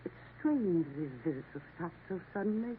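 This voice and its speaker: An actress playing a stern, solemn elderly Mother Superior in a 1950s radio drama, measured and formal. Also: on the low side, animated, close to an everyday voice. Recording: tinny old radio broadcast, faint hiss.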